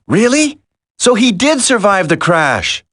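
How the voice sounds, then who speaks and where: A loyal young commando hero in a video game drama. His earnest, emphatic voice swings widely in pitch and is recorded dry. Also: sing-song, slow, fairly bright tone, no echo, exaggerated voice. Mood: disbelieving.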